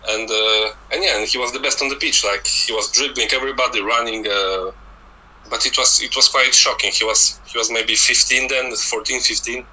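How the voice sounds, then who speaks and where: A man's voice: medium pitch, steady tone, normal speed, sharp tone, rough recording. A man with a Polish accent, a native Polish speaker, giving casual football analysis as a scouting guest and often stammering over repeated words. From Poland.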